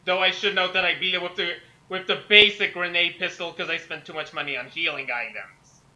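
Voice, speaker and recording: Young guy, high and really sharp bright voice, reading aloud and chatting on a call. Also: brisk, rough recording. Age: young adult. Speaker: fanfic author joining the reading. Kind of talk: casual chat and reading aloud. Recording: online group call.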